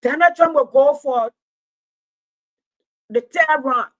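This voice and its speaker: A woman's voice: high voice, slow, highs cut off. A woman in her late fifties giving impassioned livestream commentary, preaching in repeated rhetorical build-ups. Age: 50s. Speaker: commentator and Pan-Africanism teacher.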